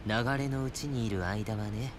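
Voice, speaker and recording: A man playing a calm, enigmatic young seer in an anime-style video game, detached and knowing. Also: fairly low pitch, normal speed, background noise, close to an everyday voice.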